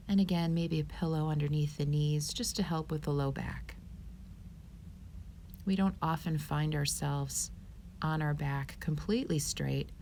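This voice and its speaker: Woman yoga teacher, soothing guided meditation, very unhurried delivery, calm, faint background noise. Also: low voice, creaky.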